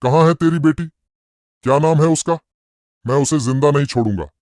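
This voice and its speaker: A man's voice: fairly high pitch, normal speed, darkish tone, dry recording, studio quality, close to an everyday voice. A man playing a dangerous, threatening ghost in a dramatized moral story. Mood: angry, threatening. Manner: threatening, commanding. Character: dangerous, menacing male ghost guarding his garden.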